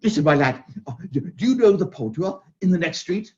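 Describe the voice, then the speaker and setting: A high, swooping old miser's voice, cackling and breathless, bursting with joy, as a man acts him out in a radio-style reading. His pitch leaps all over the place. The dull, lo-fi sound strips away the crispness. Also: lively intonation, brisk, a little mellow, natural voice. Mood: delighted. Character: elderly male miser, giddy with joy. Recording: dull lo-fi recording.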